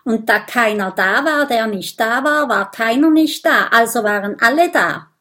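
A tiny burrowing dragon from a children's storybook, as a woman reader puts him on, speaks in a high, excitable voice. He is puffed up with self-importance, yet jittery and quick to stammer. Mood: boastful, chatty.

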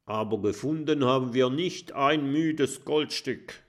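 Ein Mann spricht einen erfahrenen, brummigen Piraten. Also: male voice, medium pitch, unhurried, voice pushed up, close to an everyday voice. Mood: grumbling. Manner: grumbling. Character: experienced grumbling male pirate.

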